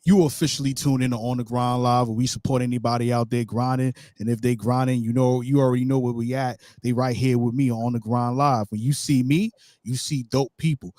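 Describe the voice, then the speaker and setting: A man from Brooklyn hosts a live stream in a relaxed New York accent. He talks loosely and repeats his catchphrases. His voice is close and dry, with little room around it. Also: medium pitch, a little fast, dry recording. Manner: laid-back, repeats catchphrases like "you get what I'm saying". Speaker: host. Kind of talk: casual live-stream interview.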